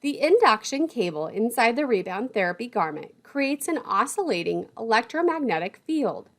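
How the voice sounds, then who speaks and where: Woman, instructional narrator, higher pitch, lively intonation, formal and precise, scripted lecture delivery. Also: normal speed.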